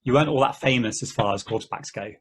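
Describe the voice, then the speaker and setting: A man playing an easygoing game player in an amateur table read, talking in a halting, conversational way. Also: mid-range voice, a little hiss or hum, natural voice. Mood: casual, explaining. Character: easygoing, rambling male player of an endless treasure-hunt game.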